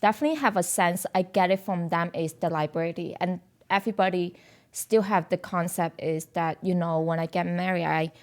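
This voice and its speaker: A woman's voice: fairly low pitch, fairly quick. Woman, chatty bridal shop owner. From born in China, raised in Hong Kong. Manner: animated, lots of "like" fillers, gets loud when emphatic. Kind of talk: casual podcast chat.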